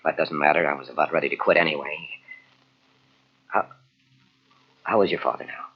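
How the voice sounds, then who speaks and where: A man's voice: rumbling low, moderate pace, husky. An actor playing an earnest, loyal young newspaperman in an old-time radio drama. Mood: concerned. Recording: dull old radio recording from cassette.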